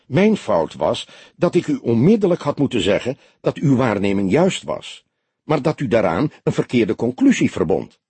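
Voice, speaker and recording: A man's voice: mid-range voice, sing-song, husky, no room sound. Man voicing a defensive, hesitant woman. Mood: calm, defensive. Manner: soft, careful, halting. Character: defensive, hesitant woman civil servant under questioning. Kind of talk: audiobook character dialogue.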